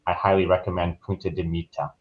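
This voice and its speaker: A man's voice: sits low, little pitch movement, fast talker. A man speaking as an interview guest in a casual, informal way, on dull call audio. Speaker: podcast guest, travel professional.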